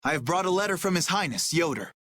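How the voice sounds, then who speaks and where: A man voicing an earnest, dutiful young knight in an anime video game, speaking formally and politely with a slightly husky voice. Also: a little high, expressive, quick pace, fairly bright tone, dry recording. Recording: background music behind the voice.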